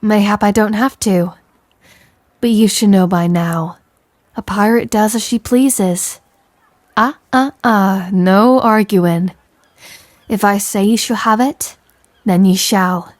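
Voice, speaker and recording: A woman's voice: medium pitch, takes it slowly, long pauses, dry recording, clean studio sound, natural voice. A woman playing a tender but commanding female pirate captain in an intimate audio roleplay, with a stage pirate accent and teasing sailor slang. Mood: teasing, insistent. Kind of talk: scripted roleplay audio, intimate second-person address.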